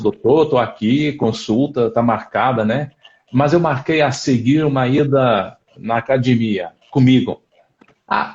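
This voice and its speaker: A man's voice: mid-range voice, darkish tone, muffled recording. A man with a European Portuguese accent, a psychologist hosting a casual live chat, talking thoughtfully and hesitantly with many fillers. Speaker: psychologist host.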